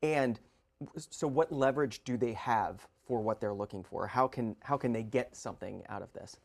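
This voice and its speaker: A man's voice: mid-range voice, normal speed, gravelly, breathy edge. A male TV interviewer asks probing questions, rephrasing as he goes.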